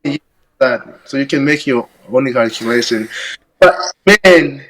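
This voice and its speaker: A young man from Burundi, a podcast guest, talking over his life in a halting, reflective way full of fillers. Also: mid-range voice, fairly bright tone, rough recording. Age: young adult. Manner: reflective, halting, many "you know" fillers. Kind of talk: casual conversation.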